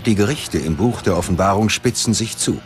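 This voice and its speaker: A man's voice: lowish voice, a little fast. A man narrating a scripted documentary in a dramatic, ominous manner. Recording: loud music behind the voice. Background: music.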